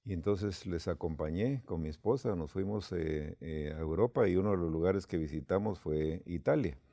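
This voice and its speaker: A man's voice: deep voice, normal speed, warm tone, dry recording, studio quality. A man from Guatemala, telling a personal story unhurriedly with quoted dialogue, as a church leader.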